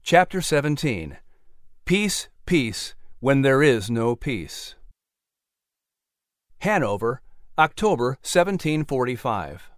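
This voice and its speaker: A man announcing and narrating a scripted podcast in an animated, theatrical way. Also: medium pitch, takes it slowly, long pauses, no room sound, clean studio sound.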